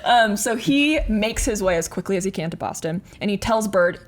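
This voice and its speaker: A woman's voice: mid-range voice, expressive, moderate pace. A woman telling a story as a podcast host in a casual, conversational way, with informal, slangy phrasing.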